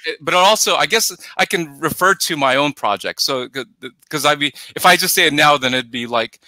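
A middle-aged man talking casually as a podcast guest on a headset, haltingly, often searching for words and repeating himself. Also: on the high side, bright, forceful tone, no echo, low-quality recording. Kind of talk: casual film discussion. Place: indoors at home on a video call. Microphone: headset on video call.

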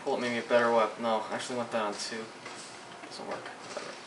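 A man's voice: mid-range voice, little pitch movement, quick pace. Young man cursing through gameplay commentary. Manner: profane, frustrated outbursts at the game. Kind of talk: live gaming commentary. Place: indoors at a computer. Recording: echoey room, distant mic, noisy.

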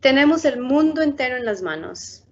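Uma mulher de uns trinta anos, apresentadora de um programa infantil, lendo um livro ilustrado devagar e com calma numa chamada de vídeo. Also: female voice, high voice, quick pace. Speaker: outreach storytime host. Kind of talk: bilingual children's book reading. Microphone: laptop webcam on video call. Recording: muffled video call audio.

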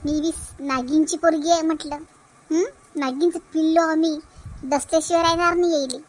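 Female actor as defiant devoted daughter and wife, cartoon, shrill high pitch, insistent, Varhadi Marathi accent. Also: steady tone, normal speed, natural voice. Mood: resolute. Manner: insistent, repeats phrases. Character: fiery, defiant young wife devoted to her mother. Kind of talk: cartoon family drama voice acting.

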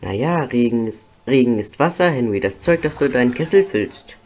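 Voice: medium pitch, animated, fairly quick, darkish tone. Someone voicing an eager, naive young cartoon tank engine. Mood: curious, earnest. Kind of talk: animated children's cartoon fan dub. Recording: music under the dialogue.

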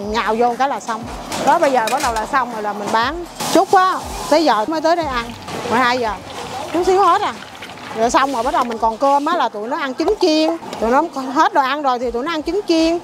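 A woman's voice: sits high, noisy background. A middle-aged woman with a Southern Vietnamese accent who runs a market food stall, chatting casually and listing her dishes one after another. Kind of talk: casual interview chat.

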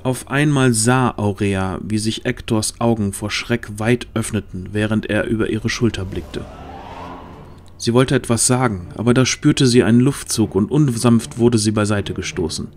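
Low-voiced man narrating fantasy. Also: normal speed, darkish tone, slight background noise. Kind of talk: scripted fantasy audio drama narration.

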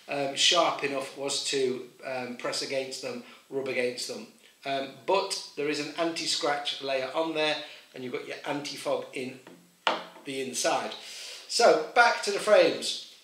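A man's voice: on the high side, sharp tone. A middle-aged man selling ski goggles, walking through the product to camera in a chatty, informal way with many fillers. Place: small indoor room with boxes. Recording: echoey room, mic at a distance.

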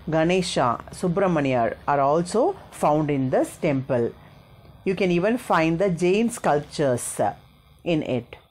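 A woman's voice: deep voice, darkish tone. A woman with a South Indian accent giving an explanatory school history lesson, partly read out, repeating and rephrasing key points in a didactic way.

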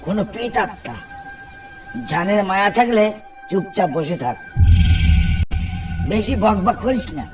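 Older village fisherman uncle played by a man, high voice, scolding and foreboding, cartoon horror-comedy, off-mic over music. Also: lively intonation, measured pace, pauses often. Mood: ominous warning. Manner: bossy, ominous warnings, teasing. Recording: distant mic under clear background music.

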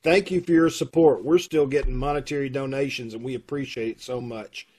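A middle-aged man with a husky voice, making folksy, casual announcements to an online audience over a video call. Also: mid-range voice, fairly even intonation, brisk, a little mellow. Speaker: troupe host making announcements. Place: room at home, on video call. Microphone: video call microphone.

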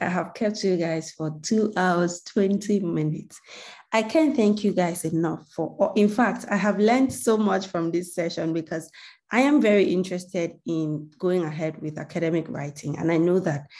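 A woman's voice: fairly low pitch, moderate pace, warm tone. A woman hosting a webinar on a video call, thanking people and coaxing the audience in a grateful, chatty way. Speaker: webinar host. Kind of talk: closing remarks to a webinar.

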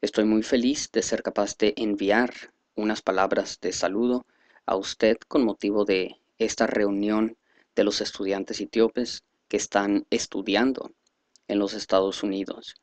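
A man reading a speech aloud in a halting, careful way, stumbling over words now and then. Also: lowish voice, fairly even intonation, no echo. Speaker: reader presenting a selected speech.

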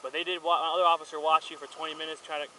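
A man's voice: on the high side, level tone, rapid-fire, sharp tone, a little hiss or hum. A man officer on a beach asking matter-of-fact questions, picked up from a distance by another officer's body camera. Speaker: wildlife officer. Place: outdoor beach.

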